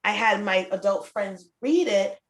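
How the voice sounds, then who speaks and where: A woman's voice: medium pitch, measured pace. Effusive middle-aged woman hosting a chat. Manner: effusive, repeats phrases for emphasis. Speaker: show host and children's book author. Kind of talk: casual conversational interview chat.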